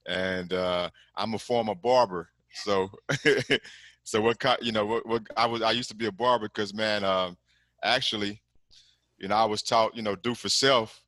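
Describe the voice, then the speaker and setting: A man's voice: on the low side, steady tone, moderate pace, bright, forceful tone, no echo. Laid-back middle-aged Texan man on a video call. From Galveston, Texas. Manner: laid-back, rambling, frequent "man" and "you know what I'm saying". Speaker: guest, commercial lender. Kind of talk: casual interview over video call.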